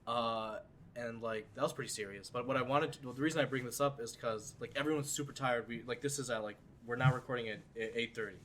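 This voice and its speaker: A man's voice: medium pitch, fast, fairly bright tone, a little hiss or hum. A seventeen-year-old man hosting a casual podcast chat, rambling with lots of fillers. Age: teens. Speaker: podcast host.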